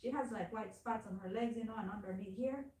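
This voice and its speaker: A woman's voice: medium pitch, fairly quick, far from the mic. A middle-aged woman with a strong Caribbean English accent chatting with a client in her nail salon, telling stories with lots of acted-out voices. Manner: animated storyteller, acts out quoted voices. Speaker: nail shop owner. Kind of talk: casual chat while working. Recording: echoey room, mic set back.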